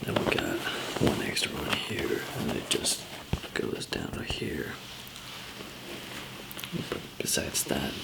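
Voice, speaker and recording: A man with an extremely low, heavily creaky voice performs an ASMR roleplay as a tired survivor. He murmurs breathily at an unhurried, slow pace, rambling and hesitating in an understated way. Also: low-quality recording. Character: weary, easygoing male zombie apocalypse survivor. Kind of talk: ASMR roleplay, improvised soft murmur and whisper.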